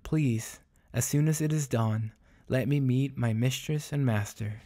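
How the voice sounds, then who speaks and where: A man's voice: mid-range voice, measured pace, mellow, natural voice. A man voicing a bitter, sorrowful runaway dog in a storybook soliloquy, speaking in a solemn, plaintive, formal way. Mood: bitter, pleading.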